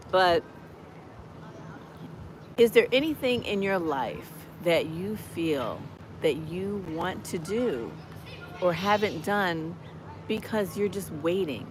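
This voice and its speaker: A woman in her fifties, hoarse from a cold, giving chatty, encouraging exhortations while walking outdoors on a campus. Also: low voice, big pitch swings, slow pace. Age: 50s. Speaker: motivational vlogger. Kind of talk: live-streamed walking vlog. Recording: noisy outdoor street ambience.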